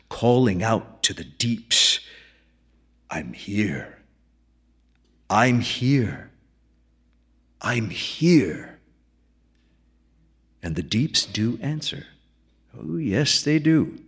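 A man voicing a brooding veteran lighthouse keeper in a story reading, wistful and mysterious, with a hushed, folksy, ruminative way of spinning a yarn. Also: lowish voice, lively intonation, extremely slow, long pauses, slightly rough, full character voice. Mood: brooding, ominous.

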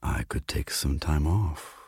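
A man voicing a shy, lonely Death in a story reading, gentle and halting, often stammering. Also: a bass voice, vocal fry, breathy edge, no echo, studio quality, voice pushed down, heavily acted. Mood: quiet, tender. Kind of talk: literary story reading with character dialogue.

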